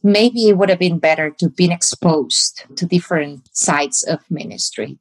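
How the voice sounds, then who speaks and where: A woman pastor from Mexico with a Mexican Spanish accent, answering interview questions in a reflective way and sometimes searching for words. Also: low voice.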